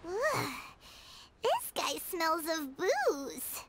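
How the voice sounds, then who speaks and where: A woman voicing a small, childlike fairy companion, excitable and indignant, in an anime video game. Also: drawn-out words, noisy background, heavily acted. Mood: grumbling. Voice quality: squeaky, childlike. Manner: expressive, refers to self in third person.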